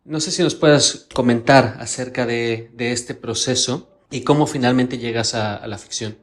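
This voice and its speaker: A man's voice: medium pitch, moderate pace, no room sound. A man hosting a literary podcast, speaking in Spanish as narrator and interviewer.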